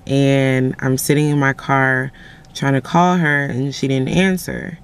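A woman's voice: very deep voice, steady tone, a little slow, clean tone. A young woman vlogging casually to a handheld camera, telling rambling stories in her car and at home. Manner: chatty, rambling storytelling with frequent "like". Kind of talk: casual vlog chat to camera. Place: parked car, then home kitchen indoors. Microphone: camera held at arm's length.

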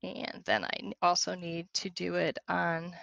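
A woman leads a screen-share software tutorial in a conversational, step-by-step way. Her voice sits a little low for a woman, with a bright, forceful edge and a creaky fry. The sound is close and dry. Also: completely flat delivery, a little slow, no echo, dull audio. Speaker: software demonstrator.

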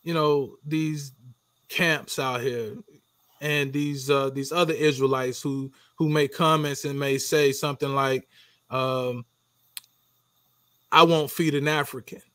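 A man's voice: mid-range voice, steady tone, slow, sharp tone, no echo. A middle-aged man hosting an interview over a video call, loose and casual with many fillers. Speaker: interview host. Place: dim room with dark curtain.

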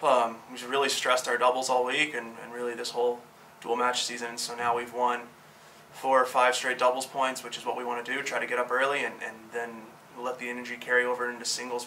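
A male head coach in his thirties giving a quick, upbeat post-game interview, talking fluently with a few fillers. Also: mid-range voice, completely flat delivery, bright, forceful tone. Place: press backdrop room. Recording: slightly distant, faint room noise.

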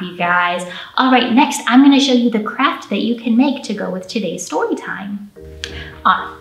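A woman with an American accent talks to young children as a storytime host. Her voice sits fairly high, and she gives simple, encouraging step-by-step instructions. The recording is roomy, with noticeable echo from a camera a few feet away. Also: fairly bright tone, far from the mic. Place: indoor room with a painted mural.

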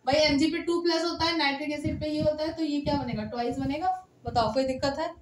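A high-voiced young woman teaches students through a headset, her voice tiring and slightly hoarse. She keeps asking if they follow along. The room echoes, with steady noise behind her. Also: fast. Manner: talks straight to students, coaxing and checking in. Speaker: chemistry teacher. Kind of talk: classroom lecture at a whiteboard. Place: small indoor room by a whiteboard.

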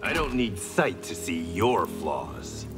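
An actor playing a proud, calm blind swordsman in a fighting game, making measured, defiant threats. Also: sits low, full character voice. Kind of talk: fighting game character dialogue. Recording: game music behind the voice.

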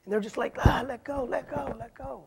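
Earnest high-voiced man preaching. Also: brisk, vocal fry, crowd noise. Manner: earnest, pleading, shifts between loud emphasis and soft quoted lines. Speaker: pastor. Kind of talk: sermon. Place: church stage, indoors.

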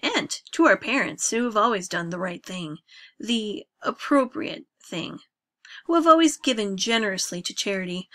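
Woman reading an angry, sarcastic eldest brother, bright tone, storybook reading. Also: medium pitch. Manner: mocking, sarcastic, loud. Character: bitter young man, eldest brother, sarcastic.

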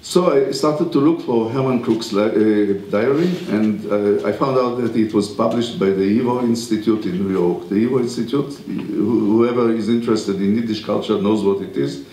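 An older man, a native Hebrew speaker, gives a university lecture at a podium mic in a lecture room, speaking haltingly with many pauses and restarts. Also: lowish voice, mellow, reverberant, a little hiss or hum, poor audio. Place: wood-panelled lecture room.

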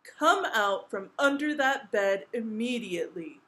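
A woman voicing a loud, commanding, exasperated king and father in a children's storybook. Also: a little high, a little slow. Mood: thundering, stern. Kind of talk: children's storybook read-aloud.

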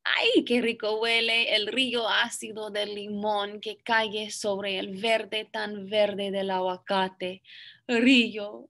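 A young woman reading a picture book aloud to children, expressive and deliberate in her phrasing. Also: medium pitch. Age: young adult. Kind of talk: children's story read-aloud with brief asides. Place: small indoor classroom.

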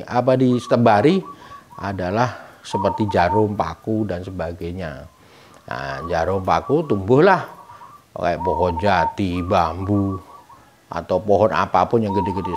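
A middle-aged man informally explaining a folk tale's meaning in a didactic way, posing questions and answering them himself. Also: sits low. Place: room with bare walls, indoors, seated. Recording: clear background noise, birds cooing.

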